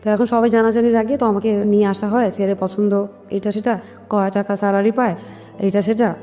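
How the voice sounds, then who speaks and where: A Bangladeshi woman recounts her own story in an interview, voicing the dialogue as she goes. Her speech is quick and mid-pitched, with some English mixed in. The recording has a long hall-like reverb with music underneath. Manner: animated retelling with quoted family dialogue, English words mixed in. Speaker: guest telling her life story.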